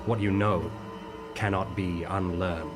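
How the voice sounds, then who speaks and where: A man's voice: low voice, fairly even intonation. A man giving an ominous, solemn scripted narration. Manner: slow, solemn, intoning. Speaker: show narrator. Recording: over loud music.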